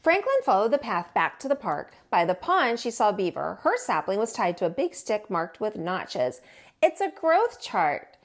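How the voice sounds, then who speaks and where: A woman, a teacher, reading a children's storybook aloud in an expressive way and acting out the dialogue. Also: mid-range voice, moderate pace, hardly any pauses. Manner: animated, acts out the dialogue. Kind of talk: expressive children's picture-book read-aloud.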